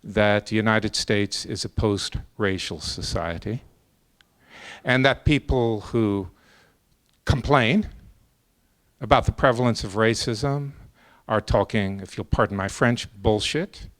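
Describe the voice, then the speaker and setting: Slow, deliberate man making an argument. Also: lowish voice. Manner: deliberate, measured, breaking thoughts into short phrases. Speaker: professor on a debate panel. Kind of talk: extemporaneous academic argument.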